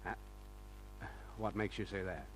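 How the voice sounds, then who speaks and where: An actor playing a gruff, sarcastic police inspector in an old-time radio crime drama, curt and irritable. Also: male voice, sits low, steady tone, unhurried. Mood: startled, angry. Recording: noisy humming old radio recording.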